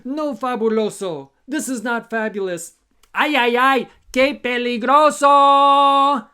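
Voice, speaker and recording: A man's voice: very high-pitched, slow pace, bright, forceful tone, smooth, pitched above the performer's own voice, exaggerated voice. A man voicing displeased, panicky insect cruise passengers in a storybook, exclaiming complaints in several languages. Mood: alarmed.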